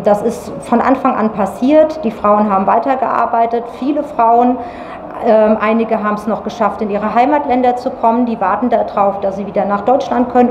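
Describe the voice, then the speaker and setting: A woman's voice: fairly high pitch, a little mellow, highs cut off. A middle-aged woman giving a serious spoken statement in an interview. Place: tiled room indoors. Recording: echoey tiled room, faint noise.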